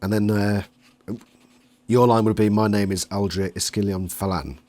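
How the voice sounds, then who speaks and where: A man's voice: on the low side, fairly even intonation, normal speed, mellow. A man interviewing someone in a casual, chatty way, feeling out his questions as he goes.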